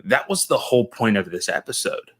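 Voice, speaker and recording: A man co-hosting a casual podcast chat, talking in an animated, emphatic way. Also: medium pitch, fairly quick, breathy edge. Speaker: podcast co-host.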